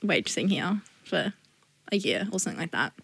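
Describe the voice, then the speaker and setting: A woman's voice: on the low side, animated, brisk, gravelly, a bit bright, slightly rough. A young woman, a podcast guest, talking bluntly and casually with some swearing. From Australia. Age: young adult. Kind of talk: casual chat.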